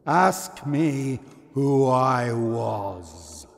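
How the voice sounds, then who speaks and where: A tormented dead businessman ghost, super slow n gravelly, mournful audio drama wailing. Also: medium pitch, big pitch swings, noisy background, full character voice. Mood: grave, commanding. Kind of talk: theatrical audio drama acting. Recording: cavernous reverb with music.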